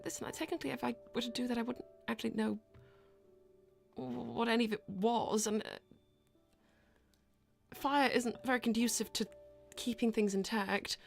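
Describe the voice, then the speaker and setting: Casting: actress as haughty princess for animated-style roleplay. Highish voice, prim formal diction, easily indignant, music bed behind. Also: female voice, normal speed, creaky, long pauses. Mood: wary, prim. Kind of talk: improvised in-character livestream roleplay.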